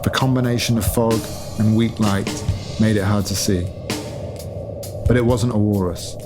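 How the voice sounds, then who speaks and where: A man reading literary fiction as scripted narration, with measured, deliberate phrasing. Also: on the low side, steady tone. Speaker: author reading own novel excerpt. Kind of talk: scripted literary narration over sound design. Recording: music behind the voice. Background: atmospheric music.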